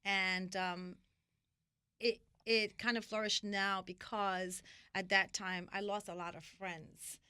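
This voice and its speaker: Middle-aged New York woman, interview guest; bright, crisp voice, dry close sound, loose animated storyteller. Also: medium pitch, slow, no echo. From New York (Washington Heights). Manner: animated, rambling, many fillers and restarts. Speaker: interview guest, magazine founder.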